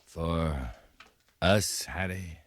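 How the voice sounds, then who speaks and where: An actor playing a steady, laconic American cavalry captain with a measured, understated manner in a 1950s radio western. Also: male voice, deep voice, dry recording, heavily acted. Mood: puzzled. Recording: vintage 1950s radio recording.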